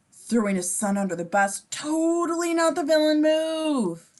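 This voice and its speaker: A woman's voice: high voice, animated, a little slow, a little mellow. A woman reading a script's scene descriptions at a table read, informal and playful, with casual asides. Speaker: stage-direction reader.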